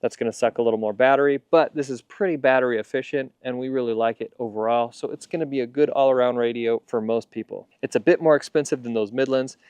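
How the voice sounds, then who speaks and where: A man's voice: medium pitch, fast talker, hardly any pauses, a little mellow, clear, resonant tone, dry recording. An American man in his thirties giving a casual, conversational product review, explaining things to camera. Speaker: gear reviewer presenting to camera. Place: outdoors in a leafy backyard.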